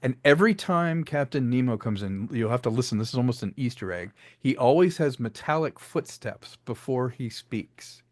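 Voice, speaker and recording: Eager male director explaining his craft, mid pitch, dry close mic, video call. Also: animated, moderate pace, hardly any pauses, dry recording. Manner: enthusiastic, explains with many restarts and voiced asides. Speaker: director and sound designer. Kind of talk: casual interview chat.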